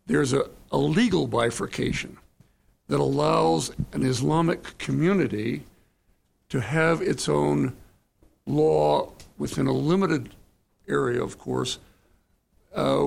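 A man making a considered comment from the audience in measured, formal phrases. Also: a little high, vocal fry. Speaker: audience member.

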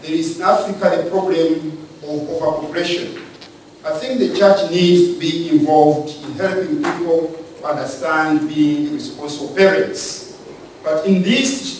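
A man from Uganda giving a measured, lecture-style talk on the church's role in society, pausing and restarting his phrases. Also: on the high side, a little mellow, slight background noise. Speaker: speaker on church and state. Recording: echoey room from far off.

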